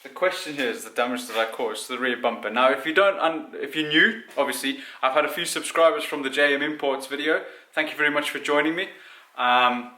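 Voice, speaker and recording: A man's voice: medium pitch, a little fast, sharp tone. A man who grew up in Zimbabwe, chatting casually and self-deprecatingly about fixing up his car in his home garage. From born in South Africa, grew up in Zimbabwe. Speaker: car vlogger. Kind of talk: casual chat while working on a car.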